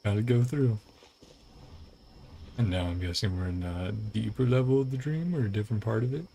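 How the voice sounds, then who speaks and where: A woman's voice: very deep voice, brisk, dark tone, a little hiss or hum. A woman streaming a game, mixing casual commentary with dialogue she reads aloud. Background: game music.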